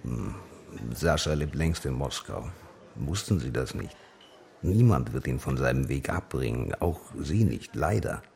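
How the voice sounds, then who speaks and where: An actor in a radio drama playing a middle-aged, grey-haired man who speaks with measured, grave courtesy in a gravelly voice. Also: lively intonation, darkish tone, exaggerated voice. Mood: soothing, regretful. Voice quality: gravelly, very deep. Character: grey-haired, decisive, courteous male acquaintance bearing grave news.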